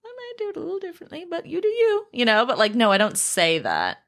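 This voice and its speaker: Woman, podcast host, lively and animated, self-aware chatter. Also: fairly high pitch, fast. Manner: chatty, self-conscious, laughs easily. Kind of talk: casual podcast conversation.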